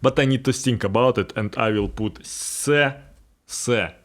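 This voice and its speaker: A man with a Russian accent, a non-native English speaker, explaining YouTube formats in a talking-head video and pausing often on fillers. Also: medium pitch. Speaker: YouTube strategy creator.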